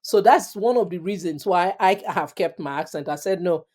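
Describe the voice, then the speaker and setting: Earnest middle-aged African woman, mellow voice. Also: fairly low pitch, normal speed. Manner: earnest, emphatic, repeats phrases for stress. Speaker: interview guest. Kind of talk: reflective personal storytelling.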